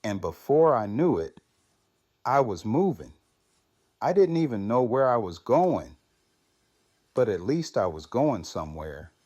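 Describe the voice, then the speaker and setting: A man playing a grieving, reflective widowed survivor in an audio drama, speaking in a halting, subdued manner. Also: mid-range voice, darkish tone, dry recording. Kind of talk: fan audio drama acting.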